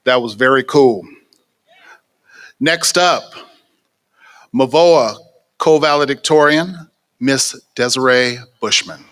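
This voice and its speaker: A man announcing speakers at a ceremony, relaxed and lightly amused. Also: mid-range voice, takes it slowly, long pauses, clean tone. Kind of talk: introducing speakers.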